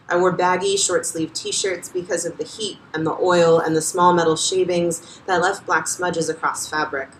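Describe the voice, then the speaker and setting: Woman reading her essay, measured and even, heard in a roomy bar. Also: low voice, a little hiss or hum. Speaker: reader at a nonfiction reading. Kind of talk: reading a personal essay aloud. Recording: roomy, distant live venue sound.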